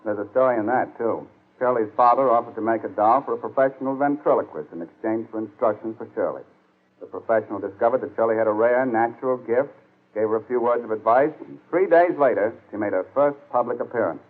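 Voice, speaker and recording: A man's voice: mid-range voice, fast talker. Male American announcer for vintage radio variety program, mid-range voice, phone-like old broadcast sound. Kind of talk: scripted 1940s radio announcing. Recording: tinny, band-limited 1940s radio recording.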